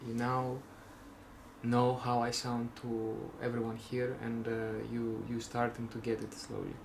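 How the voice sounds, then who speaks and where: A man's voice: medium pitch, flat delivery, measured pace, creaky, noisy background. A young man from Greece with a Greek accent, rambling casually in a vlog, full of fillers and self-restarts with wry jokes. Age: young adult. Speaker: vlogger.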